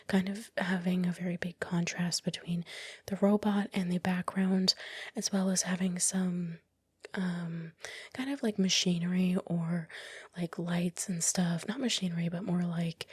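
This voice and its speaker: Breathy whispering woman, close and dry. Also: fairly low pitch, a little mellow, no room sound. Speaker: ASMR creator narrating her time-lapse. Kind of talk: whispered ASMR, unscripted casual narration. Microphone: close microphone, dry voice-over.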